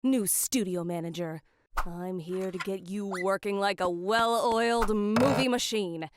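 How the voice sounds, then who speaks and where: A woman's voice: mid-range voice, unhurried, noisy background, natural voice. A woman voicing a strict, rule-obsessed studio manager in a cartoon, speaking in stern, clipped, bossy lines. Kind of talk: cartoon voice acting.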